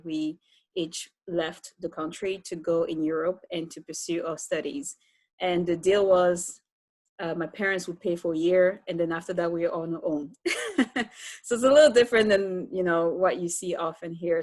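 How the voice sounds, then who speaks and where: A woman's voice: fairly low pitch, darkish tone, no echo. A woman from Cameroon with a Cameroonian accent, telling her own story conversationally as an interview guest.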